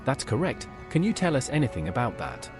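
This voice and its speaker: An inquisitive male outsider, voiced by a man in a fantasy game, polite and earnest. His mid-pitched voice swings very widely, and music plays clearly behind. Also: wildly swooping pitch, fairly quick. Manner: polite, questioning.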